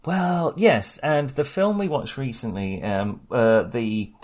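A man's voice: mid-range voice, takes it slowly, crowd noise. A man co-hosting a casual film podcast, talking with many fillers and restarts. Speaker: podcast co-host.

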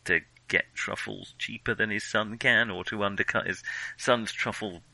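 Bright, forceful man chatting about film. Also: on the low side, fairly even intonation, moderate pace, gravelly, no room sound, low-quality recording. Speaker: film podcast co-host. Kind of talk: casual film discussion.

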